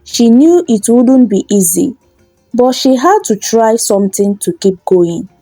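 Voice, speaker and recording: A woman narrates a folktale in a high, very animated voice. She reads slowly, like a storyteller, in a soft mellow tone over faint music. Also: dry recording, rough recording.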